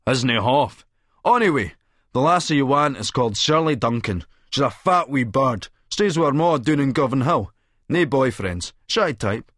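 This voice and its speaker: A man's voice: mid-range voice, animated, normal speed, a bit bright, no echo, studio quality, voice pushed up. A man voicing a cocky, scheming, drug-fuelled Scottish wide boy with sly, cheeky patter in an audiobook. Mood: cocky, manic.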